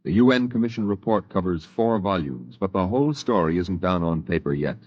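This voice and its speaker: A low, dry male voice, from a man in the role telling his memories in a measured, reflective way. The sound is muffled and dull, like an old radio broadcast. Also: lively intonation, no room sound. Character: grown man recalling his frontier youth. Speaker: narrator. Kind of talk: 1950s radio drama narration.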